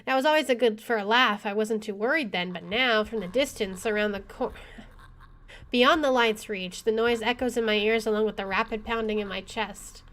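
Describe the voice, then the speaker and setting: A woman game streamer with an expressive, excitable delivery, reading aloud and commenting as she plays. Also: on the high side, normal speed. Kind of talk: dramatic reading aloud and let's play commentary. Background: faint game music.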